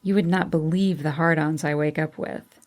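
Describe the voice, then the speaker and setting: A woman reading a story aloud, voicing a tender young man who murmurs low and intimately. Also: steady tone, moderate pace, natural voice.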